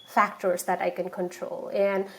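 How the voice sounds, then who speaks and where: A woman's voice: mid-range voice, level tone, unhurried, a bit bright, low-quality recording. A woman in her thirties from Nepal, speaking as an interview guest, chatty and full of fillers and restarts. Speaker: guest, startup founder. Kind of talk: casual interview talk.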